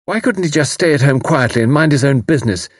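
A man's voice: on the low side, animated, normal speed, slightly rough, no echo, studio quality. A man voicing a fierce, bitter, impetuous young woman in an audiobook, with intense, emphatic delivery. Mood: resentful. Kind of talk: audiobook character dialogue.

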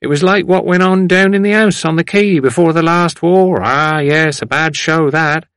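A man's voice: on the high side, fairly even intonation, normal speed, a bit bright, dry recording, clean studio sound, voice pushed up, lightly acted. A man voicing a garrulous old village man from a novel, rambling and repeating himself in a rustic way. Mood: rambling, reminiscing. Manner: rustic, rambling, repetitive, stumbling over words.

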